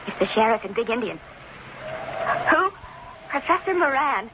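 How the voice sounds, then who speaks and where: Desperate wife, very animated voice. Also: female voice, fairly high pitch, normal speed. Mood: frightened. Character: desperate, devoted wife trapped by a dangerous man. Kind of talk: old-time radio drama acting. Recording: noisy, dull old-time radio recording.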